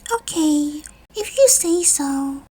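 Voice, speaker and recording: Woman voicing a high-pitched sweet little girl. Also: animated, slow pace, mellow. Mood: pouty. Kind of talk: audiobook character voice.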